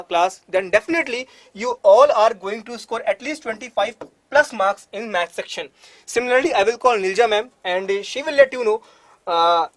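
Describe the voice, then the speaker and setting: A man's voice: high voice, dry recording. A man teaching maths in an online exam-prep webinar, earnestly assuring students, with many fillers.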